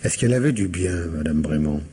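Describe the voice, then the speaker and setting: A man's voice: low-pitched, lively intonation, fairly quick, warm tone, slight background noise. A man acting as a patient, doggedly suspicious police investigator in a French radio mystery, speaking conversationally and insistently. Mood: probing. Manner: conversational, questioning, hesitant and insistent.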